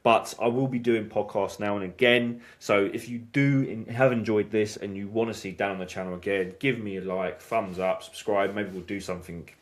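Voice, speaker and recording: A man's voice: on the low side, fairly even intonation, moderate pace, hardly any pauses. A man hosting a casual snooker podcast chat, stumbling and restarting often as he talks things through. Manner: hesitant, many restarts and fillers. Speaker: snooker channel host.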